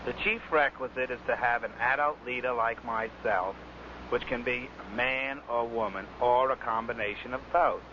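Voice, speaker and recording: Guy explaining stuff to kids on old radio, medium pitch, muffled and noisy. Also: normal speed. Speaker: youth club adult leader. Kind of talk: explanatory radio talk for children. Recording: dull, clearly noisy vintage recording.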